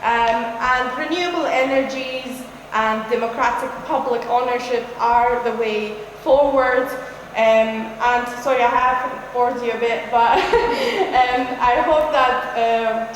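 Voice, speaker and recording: A woman giving a political speech at a rally, earnest and halting, with many fillers. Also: fairly high pitch, slight background noise. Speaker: speaker at a climate rally. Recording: echoey hall, roomy reverb.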